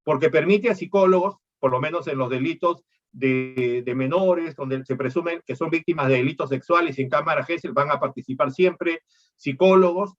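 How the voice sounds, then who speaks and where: A man's voice: fairly high pitch, moderate pace, lo-fi sound. A middle-aged man from Peru, lecturing on law and explaining things with rhetorical questions.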